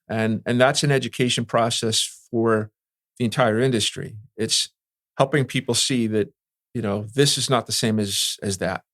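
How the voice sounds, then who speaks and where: A man's voice: medium pitch, fairly bright tone, no room sound, clean studio sound. A man answering interview questions as an industry expert, explaining things conversationally and often checking in with "right?". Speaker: security and communications industry veteran being interviewed.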